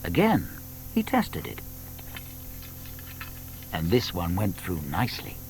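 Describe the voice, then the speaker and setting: Animated British male storybook narrator. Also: deep voice, slow, low-quality recording. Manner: expressive storytelling.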